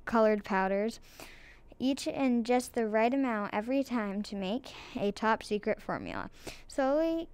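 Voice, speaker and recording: Female reading kids book aloud, clear voice, slow, dry sound. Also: a little high, a little mellow, no room sound. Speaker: reader of a children's book. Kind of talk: scripted read-aloud narration.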